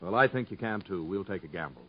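A confident businessman, talks quick and keen, muffled old radio drama. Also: deep voice, animated. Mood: impressed, eager. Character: brisk, enthusiastic arms company businessman, confident dealmaker. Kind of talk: 1950s radio drama acting. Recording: muffled 1950s radio broadcast.

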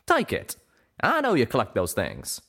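A hot-tempered, protective pegasus cowpoke played by a man in an audiobook. He speaks in a folksy American Southern drawl with a gruff edge. The pitch moves widely as he talks. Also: huge pitch swings, normal speed, fairly bright tone, heavily acted. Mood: gentle coaxing. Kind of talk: audiobook character voice.

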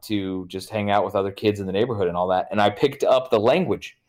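A man's voice: on the low side, fast talker, darkish tone. A young American man, a guest in a casual podcast chat, rambling and swearing, with jokey acted-out quotes. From United States.

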